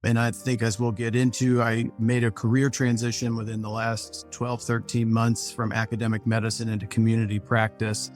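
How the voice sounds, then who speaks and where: A man with a mid-range voice speaks over a video call as a physician guest. He is measured and reflective, and he builds long sentences. Faint music plays behind him. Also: medium pitch, completely flat delivery. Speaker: physician panelist. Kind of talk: measured professional talk.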